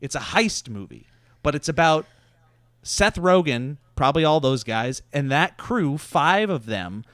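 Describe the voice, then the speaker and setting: A man telling stories and pitching ideas casually on a podcast, digressive and loosely conversational, with some swearing. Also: sing-song, measured pace, fairly bright tone, no room sound, studio quality. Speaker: podcast host. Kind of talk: casual comedic storytelling and pitching.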